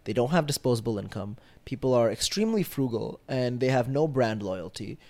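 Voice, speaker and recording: Young man with a gravelly American voice. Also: medium pitch, animated, dark tone. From grew up between the Bay Area and India. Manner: quick, thinking aloud with restarts and fillers. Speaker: podcast guest, startup engineer. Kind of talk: casual interview chat.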